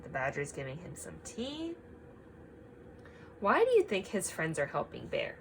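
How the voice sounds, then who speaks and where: Slow, animated woman reading to children. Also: on the low side, creaky, slight background noise, low-quality recording. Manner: expressive, child-directed, emphatic, asks simple questions. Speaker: teacher reading a picture book aloud. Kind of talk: children's read-aloud with guiding questions.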